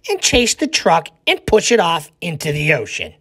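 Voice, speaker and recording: A man's voice: a little high, huge pitch swings, normal speed, a bit bright, husky, heavily acted. A man voicing a bossy, boastful young boy who hollers threats in a children's storybook read-aloud. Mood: bossy, hollering. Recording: echoey room with long reverb.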